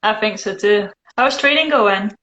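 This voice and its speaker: A woman's voice: medium pitch, normal speed, background noise. Dutch woman with Scottish-tinged English, teasing. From Netherlands. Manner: relaxed, teasing, dry. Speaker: host of a live video chat. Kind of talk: casual chat. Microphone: phone. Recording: echoey room, muffled phone audio.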